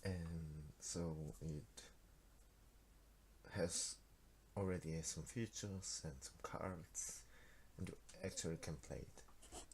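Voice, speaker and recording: A man's voice: sits low, fairly even intonation, slow, a little mellow, a little hiss or hum, poor audio. A teenage man from Switzerland with a Swiss German accent, a native Swiss German speaker, casually talking through his card game in a devlog, hesitant, with many fillers and restarts. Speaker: game developer. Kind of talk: casual screen-recorded devlog.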